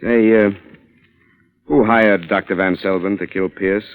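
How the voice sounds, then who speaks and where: A man's voice: lowish voice, steady tone, unhurried, clean tone, phone-quality sound, natural voice. A man playing a shrewd, defiant reporter in an old-time radio suspense drama, pointed and wry as he speaks. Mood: probing, accusing. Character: hard-boiled male reporter, shrewd, defiant, accusing. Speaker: lead actor and narrator. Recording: vintage radio broadcast sound.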